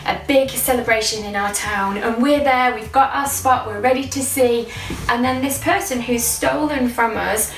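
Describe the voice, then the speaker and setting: A woman's voice: fairly high pitch, moderate pace, reverberant, far from the mic, a little hiss or hum, rough recording. A woman presenting a children's Sunday school, asking questions and explaining in an encouraging way.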